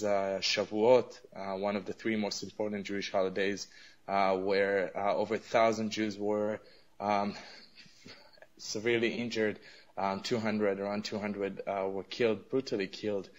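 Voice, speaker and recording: Hesitant low-voiced man, Arabic native. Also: flat delivery, moderate pace, lo-fi sound. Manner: hesitant, many fillers and restarts. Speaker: interview guest. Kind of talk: conversational interview answers.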